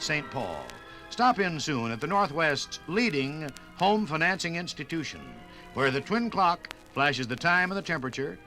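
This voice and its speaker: Animated American radio pitchman over music. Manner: folksy, reassuring sales pitch. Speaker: announcer reading sponsor message. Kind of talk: 1950s radio advertisement.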